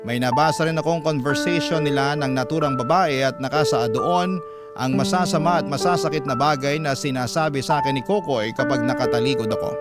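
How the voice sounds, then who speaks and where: A man with a Filipino accent giving dramatic first-person narration of a listener's letter as a radio host. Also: mid-range voice.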